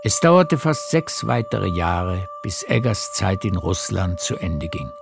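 A man narrating a literary radio play in a measured storytelling manner. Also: deep voice, expressive, creaky. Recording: music behind the voice. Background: music.

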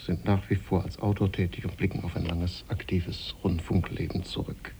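A man's voice: low-pitched, flat delivery, normal speed, mellow, a little hiss or hum. A man hosting a formal radio interview in a studio, with carefully prepared questions.

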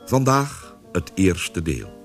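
Casting: male radio play announcer, very deep, expressive and unhurried, over music. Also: creaky. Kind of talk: scripted radio drama announcement.

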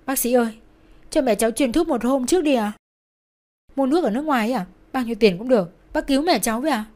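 A woman's voice: expressive, fast talker, darkish tone. Guilt-stricken young daughter acted by a woman, desperate, tearful pleading for tragic audio story. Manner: pleading, tearful, raised pitch.

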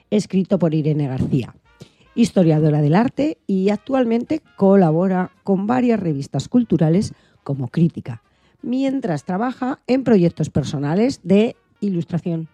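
Low, mellow woman presenting, lively intonation. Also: no room sound. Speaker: section presenter. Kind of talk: scripted narration.

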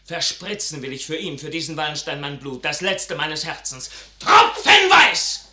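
An actor playing a passionate, idealistic young soldier son in a classical German radio drama, declaiming verse in an impassioned, swelling manner. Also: male voice, fairly high pitch, sing-song, normal speed, sharp tone, full character voice. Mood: furious, defiant. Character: ardent idealistic young soldier, son at odds with his father.

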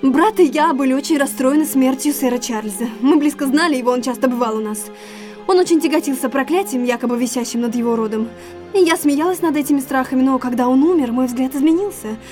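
An actress playing an anxious, secretive young gentlewoman in an audio drama, guarded and earnest. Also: high-pitched, quick pace, faint music behind, lightly acted. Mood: guarded, urgent.

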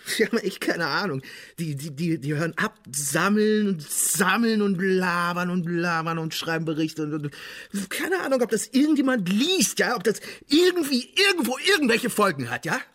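Husky, cynical investigator. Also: male voice, sits high, lively intonation, a bit bright. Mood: agitated, exasperated. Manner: insistent, blunt, halting repetitions when worked up. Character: agitated, cynical male state security investigator in therapy. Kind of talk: naturalistic German radio drama acting.

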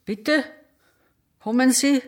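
A woman's voice: sits high, takes it slowly. A woman playing a worried, sleepy daughter in a radio drama, speaking deferentially with an Austrian accent. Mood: anxious, bewildered. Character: worried, sleepy daughter caring for frail mother.

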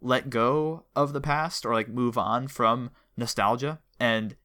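A man with an average-pitched voice hosts a casual fan discussion. He talks in a chatty, analytical way, laying out details and putting questions to his guest. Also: fairly even intonation, slow pace, pushed and bright. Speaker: podcast host interviewing a guest.

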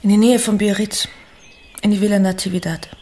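A woman's voice: medium pitch, fairly even intonation, brisk, mellow, natural voice. An actress in a German radio drama playing a married lady and secret lover, hesitant, intimate and full of longing. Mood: guarded. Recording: clearly noisy sound with clicks.

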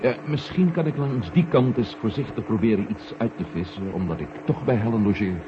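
Actor as thoughtful sleuth, muffled noisy recording. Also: male voice, on the low side, brisk, lightly acted. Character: male amateur sleuth, keen, thoughtful, respectful. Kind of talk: 1970 Dutch radio drama acting.

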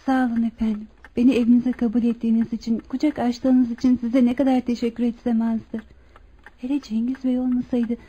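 A woman's voice: sits high, mellow, slightly rough. An actress playing an emotional, polite woman who has lost her memory in a radio drama. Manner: polite, emotional, hesitant delivery. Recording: muffled old radio drama recording.

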